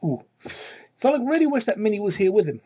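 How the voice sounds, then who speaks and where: A man's voice: big pitch swings, fast, warm tone, slightly rough. A man doing a mocking dramatic read-aloud with sarcastic, incredulous asides and theatrical emphasis, recorded on a cheap microphone. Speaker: host doing a dramatic reading of fan fiction. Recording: muffled, low-quality cheap microphone.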